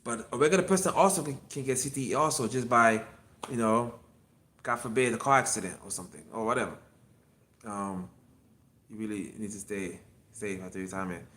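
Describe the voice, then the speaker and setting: A man's voice: fairly low pitch, brisk, fairly bright tone. A man in his fifties, an acupuncture physician, talking casually on a solo livestream from his clinic, rambling and repeating himself as he answers viewers. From Miami. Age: 50s.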